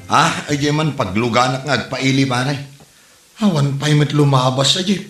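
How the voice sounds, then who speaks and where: A man's voice: medium pitch, moderate pace, reverberant, over music, close to an everyday voice. A man playing a grumbling, philandering husband in an Ilocano comedy drama, with an Ilocano Filipino accent.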